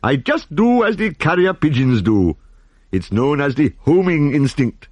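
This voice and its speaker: A man's voice: a little high, very animated, measured pace, a little mellow, dry recording, pitched above the performer's own voice. A man voicing a smug faker who explains things offhandedly.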